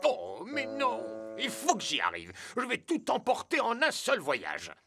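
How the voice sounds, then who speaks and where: A man's voice: very high-pitched, huge pitch swings, a little slow, creaky, exaggerated voice. A man voicing an anxious, stubborn, hard-working talking cargo truck in a dubbed children's cartoon, exclaiming and muttering to himself. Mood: fretful, determined. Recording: loud music behind the voice.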